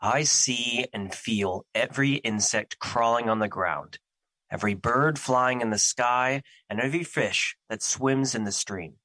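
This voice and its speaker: A bright-voiced, solemn brooding immortal. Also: male voice, mid-range voice, a little slow, rough recording. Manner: formal, grave, theatrical diction. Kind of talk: audio drama acting.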